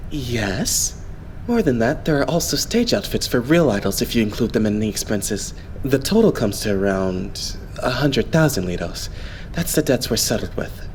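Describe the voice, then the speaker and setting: A man plays an earnest, devout young idol leading his unit. His intonation moves around a lot as he politely lays out plans with steady optimism, in anime dub style over faint noise. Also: medium pitch, close to an everyday voice. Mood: earnest, serious. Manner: polite, explanatory, measured phrasing. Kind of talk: anime game fan dub acting.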